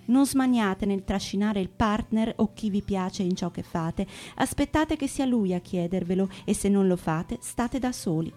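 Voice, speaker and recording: A woman's voice: medium pitch, warm tone. A woman reading a scripted radio horoscope, handing out wry, bossy advice. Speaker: horoscope reader.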